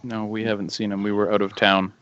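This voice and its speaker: Joking low-voiced male player. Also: little pitch movement, quick pace, a bit bright, clear, resonant tone, dry recording, muffled recording. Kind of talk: casual game chat.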